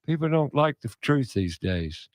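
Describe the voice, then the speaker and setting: A man hosting a radio talk show, speaking in a loud, passionate, preachy way. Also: medium pitch, sing-song, unhurried, no room sound, studio quality.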